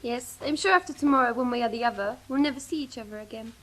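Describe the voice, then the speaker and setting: Shifty cook, played by a woman: high voice, cool, teasing, evasive, radio comedy, faint sizzling behind. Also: fast talker, a bit bright, a little hiss or hum, lightly acted, poor audio. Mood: veiled menace. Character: shifty, cool female cook with secret plans. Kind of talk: comic audio drama dialogue.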